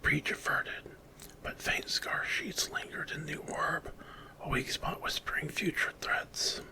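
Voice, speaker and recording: Slow, whispering male ASMR storyteller. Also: fairly high pitch, wildly swooping pitch, gravelly, low-quality recording. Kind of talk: whispered ASMR fantasy horror narration.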